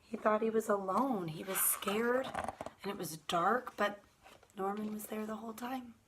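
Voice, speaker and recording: A woman reading a children's picture book aloud at storytime, expressive and drawn out, putting questions to her young listeners. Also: medium pitch, unhurried, background noise.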